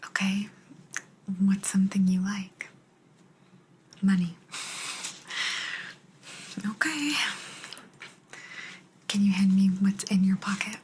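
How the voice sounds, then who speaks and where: Slow whispering alien woman in ASMR roleplay. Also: medium pitch, a little hiss or hum, lightly acted. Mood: calm, aloof. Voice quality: breathy, mostly whispered. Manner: slow, halting, gentle, calmly detached. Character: kind but aloof female alien abductor examining a human.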